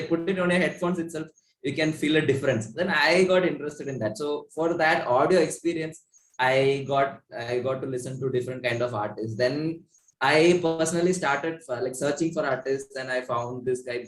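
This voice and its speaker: A young man with an Indian accent, a musician guest reminiscing in casual conversation. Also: mid-range voice. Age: young adult. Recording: echoey room.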